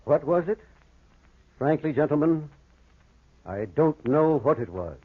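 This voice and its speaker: On a thin, muffled vintage radio recording, an educated doctor in a horror play. His voice is a little high and rough-edged. He speaks with grave, formal precision. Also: lively intonation, a little slow. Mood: earnest insistence. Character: earnest, educated male doctor recounting a horror. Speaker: actor and first-person narrator. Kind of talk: old-time radio horror drama acting.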